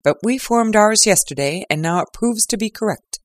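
A reader voicing a pompous, triumphant male police inspector who talks loudly and gloats. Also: medium pitch, expressive, normal speed, dry recording, voice pushed up, lightly acted. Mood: gloating. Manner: loud, gloating, crowing.

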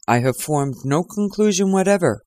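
A reader voicing a measured, dryly ironic male detective in a storybook reading. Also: mid-range voice, animated, darkish tone, no echo, studio quality, lightly acted.

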